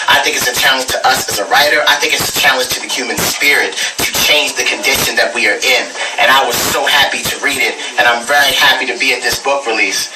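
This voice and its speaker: A man giving an informal, candid tribute speech full of anecdotes, joking and emphatic repetition. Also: medium pitch. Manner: candid, joking, frequent laughter and swearing. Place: indoor book release event. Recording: echoey room, distant mic, music behind.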